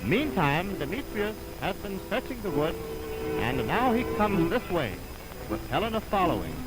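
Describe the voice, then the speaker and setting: A man's voice: sits high, very animated, moderate pace, rough recording. A man narrating a 1920s radio drama in a theatrical storytelling manner, on an old aircheck. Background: prominent music.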